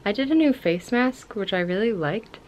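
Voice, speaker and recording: A woman's voice: mid-range voice, expressive, moderate pace. A woman in her twenties chatting casually and enthusiastically as a book vlogger, recorded on a camera at home. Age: 20s. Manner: enthusiastic, informal. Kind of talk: casual vlog chat. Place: at home, mostly in a bedroom.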